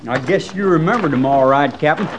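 An actor playing an eager, admiring, folksy cavalry trooper in a 1950s radio western. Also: male voice, on the high side, fairly quick, close to an everyday voice. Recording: dull, faintly noisy old radio recording.

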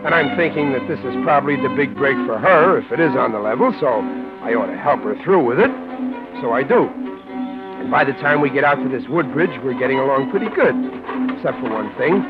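A man's voice: medium pitch, expressive, brisk, lightly acted. An actor playing a suspicious, streetwise American tough guy in vintage radio comedy-adventure, stammering and slangy. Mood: wry narrating. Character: slangy, bumbling, suspicious tough guy who narrates his misadventure. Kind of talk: 1940s-50s radio comedy-adventure acting. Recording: tinny narrow-band old radio, music behind.

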